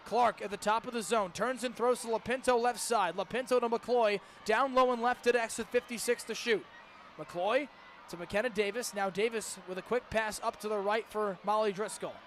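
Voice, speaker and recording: High-pitched young American male sportscaster. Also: normal speed, a little hiss or hum. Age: young adult. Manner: emphatic, dramatic calls that swell into shouting. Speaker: student radio play-by-play broadcaster. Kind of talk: live lacrosse radio commentary. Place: stadium, calling games in person.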